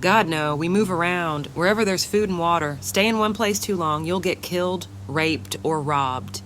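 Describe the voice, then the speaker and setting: A woman's voice: on the low side, moderate pace, a bit bright, slight background noise, lightly acted. A woman playing a desperate, guarded young mother surviving the apocalypse in an audio comic. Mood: wary. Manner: pleading, guarded.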